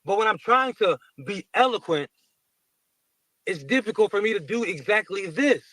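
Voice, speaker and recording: A man on a podcast panel, talking defensively and slowly, with careful pauses. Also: high voice, animated, bright, forceful tone, no room sound, rough recording. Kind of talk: heated podcast panel talk.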